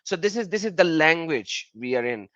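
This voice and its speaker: Animated man explaining. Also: moderate pace, no room sound, dull audio. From Pakistan. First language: Urdu. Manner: animated explainer, pitch jumping up when he makes a point. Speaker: host of a live call-in stream. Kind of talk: casual live-stream chat.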